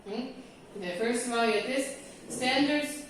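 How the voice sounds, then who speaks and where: A woman's voice: high-pitched, normal speed, a little hiss or hum. A woman from California leading a classroom discussion as an English teacher trainer, chatty and checking in with her group. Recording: roomy echo, mic from a distance.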